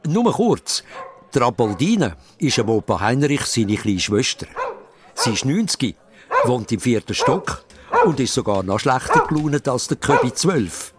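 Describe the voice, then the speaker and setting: A man with a Swiss German accent narrating a children's radio play in an animated storytelling manner. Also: medium pitch, measured pace, heavy background noise.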